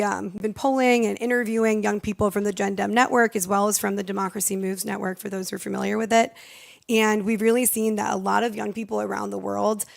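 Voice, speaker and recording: Young female panelist, strongly creaky voice, earnest and semi-formal with informal phrasing. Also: mid-range voice. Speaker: youth democracy network staffer, panelist. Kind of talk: semi-formal panel remarks, partly improvised.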